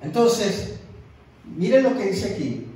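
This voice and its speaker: A man's voice: high voice, sing-song, slow pace, warm tone. A man preaching a sermon as an evangelist, emphatic and repeating his words for stress. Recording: echoey room, distant mic.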